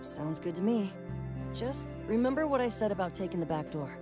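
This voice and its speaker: A man voicing a high, calm, dry-humoured friend. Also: moderate pace, music in the background. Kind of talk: visual novel character voice.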